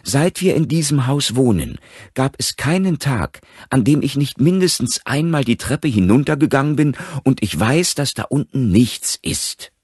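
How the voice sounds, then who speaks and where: A man's voice: mid-range voice, expressive, normal speed, darkish tone, slightly rough, no echo, clean studio sound, pitched above the performer's own voice. A man voicing a worried mother who talks plaintively and insistently about her frightened son in an audiobook.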